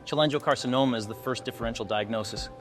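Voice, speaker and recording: A man's voice: mid-range voice, racing, fairly bright tone, faint music behind. A man narrating an explanatory case walkthrough as a radiology instructor, posing questions to the viewer as he teaches. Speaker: radiology instructor presenting cases.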